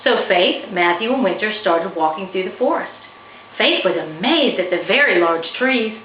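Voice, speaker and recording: A woman's voice: medium pitch, animated, moderate pace. A woman narrating a children's storybook expressively, as an author reading her own work. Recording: roomy, slightly dull, faintly noisy recording.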